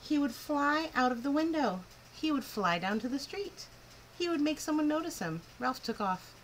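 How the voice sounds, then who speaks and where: A woman's voice: sits high, moderate pace. Woman reading a children's story, noisy background. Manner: expressive, clearly phrased, with emphatic swoops. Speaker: storyteller reading a picture book aloud to children. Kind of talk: animated children's story narration.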